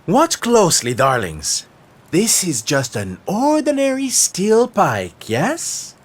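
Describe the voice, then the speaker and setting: An actor playing a flamboyant, big-hearted circus performer in a video game, camp, theatrical and gushing. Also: male voice, sits high, huge pitch swings, takes it slowly, exaggerated voice. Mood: showy. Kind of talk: video game cutscene acting. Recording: orchestral game music behind.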